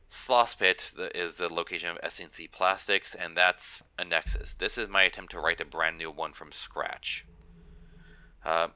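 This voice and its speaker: Deep, congested man, crisp and forceful. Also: no echo. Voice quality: congested, nasal. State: congested with a cold. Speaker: guest author reading and explaining their fiction. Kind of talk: reading aloud and casual explanation.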